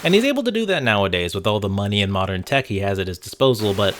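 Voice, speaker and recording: A man's voice: lowish voice, very animated, fast talker, fairly bright tone, slight background noise. A man narrating a casual video game essay with wry, sarcastic commentary and casual swearing. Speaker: host of a video game review channel.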